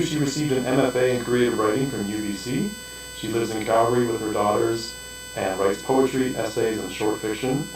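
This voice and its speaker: A man casually hosting a poetry reading and introducing readers in a bookstore. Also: mid-range voice. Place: bookstore event. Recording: very echoey distant room mic, music, hum.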